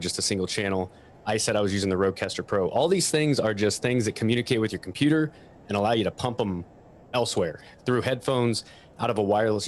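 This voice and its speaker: Man, deep-leaning voice, informal tech explanation to online class, desk microphone, noticeable background noise. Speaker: audio equipment expert. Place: at a desk in a room. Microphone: stationary desk microphone.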